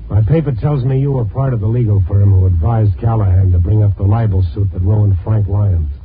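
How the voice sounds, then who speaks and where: Actor as tough American reporter, tinny old radio. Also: male voice, lowish voice, a little fast, a little hiss or hum, lightly acted. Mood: angry, threatening. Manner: hardboiled, blunt. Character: tough, world-weary male newspaper reporter. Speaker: lead actor and narrator. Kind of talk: 1950s radio drama acting. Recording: tinny old 1950s radio recording, music.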